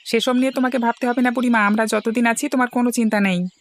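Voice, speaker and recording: Woman doing a cartoon village kid, boy, highish voice, helpful but grumbly, music behind. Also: steady tone, quick pace, natural voice. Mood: reassuring. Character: young village schoolboy, helpful, plainspoken, grumbling. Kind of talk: cartoon dialogue acting.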